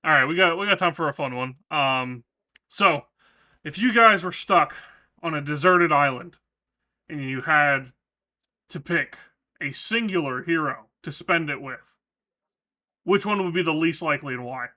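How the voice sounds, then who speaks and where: A man hosting a podcast chat in a very bright, sharp voice that sits a little high. He steers the topics and laughs easily. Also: measured pace, long pauses. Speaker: podcast host. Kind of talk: casual chat.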